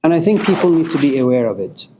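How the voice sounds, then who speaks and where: A man's voice: on the high side, sing-song, fast talker. Middle-aged male lecturer from Iran, passionate, blunt, curses for emphasis; light background hiss. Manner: passionate, swearing, rising to emphatic outbursts. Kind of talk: informal philosophy lecture.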